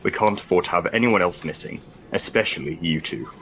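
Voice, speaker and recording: An actor playing a stern, dry-witted military commander in an audio drama, speaking with clipped authority. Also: male voice, low voice, brisk, a bit bright, slight background noise. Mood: dry, concerned.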